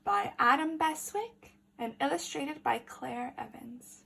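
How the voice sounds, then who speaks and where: A woman teacher reading a picture book aloud to children in an animated, deliberate way, pausing to ask questions. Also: sits high, vocal fry, slight background noise.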